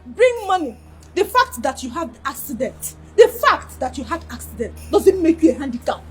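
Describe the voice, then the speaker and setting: An actress playing a scornful wife who loudly demands money from her injured husband, speaking. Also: high voice, sing-song, moderate pace, soft background music, poor audio. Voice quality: loud, scornful and nagging.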